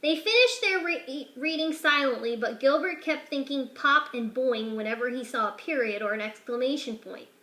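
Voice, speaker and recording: High bright lady reading a kids' story out loud, does the voices, echoey room. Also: moderate pace, few pauses. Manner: expressive, shifts pitch and loudness for each character. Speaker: teacher reading aloud. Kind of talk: children's picture book read-aloud.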